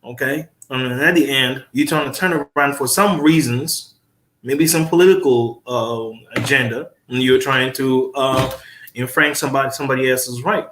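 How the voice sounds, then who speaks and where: A man from the Congo, a guest lawyer, talking in a casual panel discussion with many pauses and fillers. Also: mid-range voice, fairly bright tone, slight background noise. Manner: hesitant, many fillers, emphatic asides. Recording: roomy echo.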